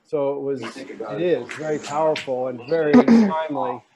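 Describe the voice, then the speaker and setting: A man's voice: a little high, moderate pace, a crowd in the background, rough recording. A man speaking reflectively in a book club discussion, often searching for his words as he goes. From Oakland, California area. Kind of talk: casual reflective discussion talk. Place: virtual discussion video call.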